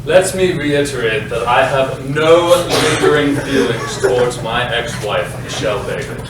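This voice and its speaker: Pompous male playwright, played by a man, slow and grandiose, very reverberant, distant mic. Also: medium pitch, lightly acted. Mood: defensive, boastful. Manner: grandiose, declamatory, overemphatic. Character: pompous self-important male playwright, bitter about his ex-wife. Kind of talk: theatrical narration in a comic staged reading. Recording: echoey hall from far off, noisy.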